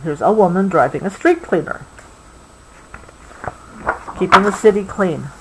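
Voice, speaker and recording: Slow, expressive American woman reading aloud. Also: fairly low pitch, slight background noise, low-quality recording. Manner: expressive, explanatory, patient with interruptions. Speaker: mother reading a picture book aloud to a child. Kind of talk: read-aloud storytelling with casual explanations to a child.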